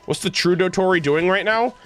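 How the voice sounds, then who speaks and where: A man livestreaming, chatting casually with his viewers in a halting, thinking-aloud way. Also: sits high. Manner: casual swearing. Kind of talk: casual chat with stream viewers. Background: music.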